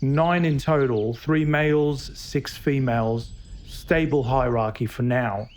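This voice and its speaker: An actor playing a knowledgeable dinosaur keeper in a found-footage horror drama, explaining things in a clipped, informative way. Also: male voice, medium pitch, slow, a little mellow, lightly acted. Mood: businesslike. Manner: informative, clipped, matter-of-fact. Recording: noisy tape with outdoor ambience.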